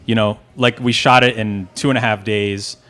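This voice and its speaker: A man's voice: fairly low pitch, fairly even intonation, normal speed, bright, forceful tone. A man speaking casually as an independent filmmaker being interviewed at a festival.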